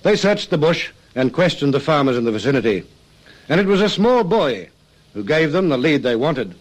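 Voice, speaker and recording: A man's voice: on the high side, big pitch swings, normal speed, low-quality recording. A man narrating a scripted radio crime drama.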